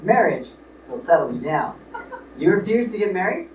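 A man's voice: high-pitched, very animated, moderate pace, a little mellow. A man playing a blustering, scheming middle-aged businessman father in an old-time radio comedy staged live in a theater. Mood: stern, scheming. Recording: distant built-in recorder mics, echoey, faint noise.

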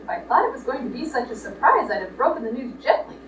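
An actress playing a sharp-tongued, sarcastic young woman in a live radio comedy play. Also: on the high side, lively intonation, fast talker, close to an everyday voice. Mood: exasperated. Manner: sarcastic, bantering. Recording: echoey, distant, noisy live stage recording.

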